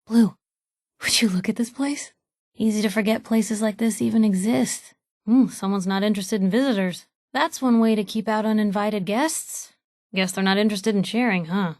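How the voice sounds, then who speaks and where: A woman's voice: mid-range voice, steady tone, normal speed, a little mellow, no room sound, clean studio sound, close to an everyday voice. An actress playing a plucky, outspoken young woman reporter, a video game companion with a wry, folksy, punchy delivery. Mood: wry, conversational. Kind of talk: video game voice acting, short reactive lines.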